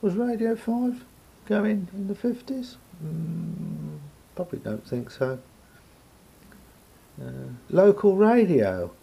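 A man with a gravelly, husky voice gives an unscripted hands-on demonstration, thinking aloud hesitantly as he explains. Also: high-pitched, expressive, slow, long pauses, warm tone, a little hiss or hum. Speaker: hobbyist radio repairer. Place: indoor room at a table.

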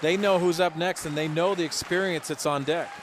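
A fairly high-voiced American man works as a radio baseball analyst, explaining situations conversationally. Behind him is a loud ballpark crowd with plenty of stadium noise. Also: steady tone, a little fast. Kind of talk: live baseball color commentary. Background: ballpark crowd and outdoor stadium ambience.

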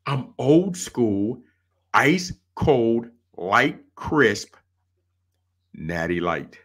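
A middle-aged man with a mid-pitched voice chats casually as a panelist on a sports podcast. He talks informally and repeats his phrases for emphasis, speaking from a room over a video call. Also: very animated, very slow, fairly bright tone. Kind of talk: casual chat.

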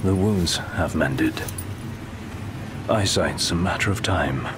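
A man playing a composed, formal young adviser in a video game cutscene, measured and restrained. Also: low voice, expressive, noisy background. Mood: grave, composed.

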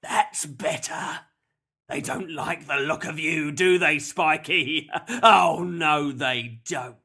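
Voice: medium pitch, slow pace, gravelly, pushed and bright, full character voice. A narrator voicing a menacing, skinny grey man who sneers and growls as he gloats in a children's story. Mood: gloating. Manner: sneering, growling, repeats himself in gloating tags.

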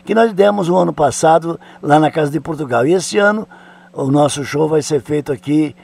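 An older man hosting a radio show, chatting in a lively way and prompting his guest. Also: a little high, moderate pace, creaky. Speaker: radio host. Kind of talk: radio interview.

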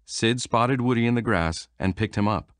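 Low-voiced man reading a storybook. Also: normal speed, dry recording, studio quality. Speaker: storybook reader. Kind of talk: reading a children's storybook aloud. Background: music.

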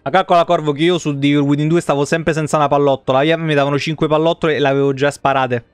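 A man's voice: a little high, little pitch movement, fast talker, clean tone, dry recording. A man, giving casual, blunt and sweary live game commentary to his chat. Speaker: live streamer. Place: indoor room at home, streaming setup. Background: video game music.

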